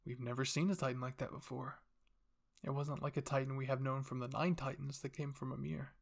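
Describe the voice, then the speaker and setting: A man's voice: medium pitch, little pitch movement, a little fast, gravelly. A man voicing a cold, scheming royal father who commands and explains without remorse in an anime fan fiction reading. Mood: recounting.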